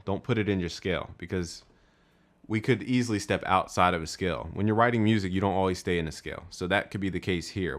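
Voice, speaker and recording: A young man with a very low voice, walking through a music production tutorial step by step. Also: fairly bright tone. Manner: step-by-step, instructive. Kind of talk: screen-recorded software tutorial.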